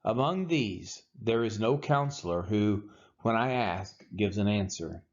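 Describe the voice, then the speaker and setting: A man reading scripture aloud in a dramatic, solemn and emphatic way, with measured pauses. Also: fairly low pitch, animated, lo-fi sound. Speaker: Bible reader.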